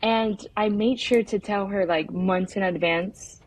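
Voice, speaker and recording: A young woman talks casually as a filmmaker being interviewed. Her delivery is informal and halting, with frequent filler words. Her pitch is average for a woman. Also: steady tone, low-quality recording. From United States. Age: young adult. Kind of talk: casual conversational interview answers.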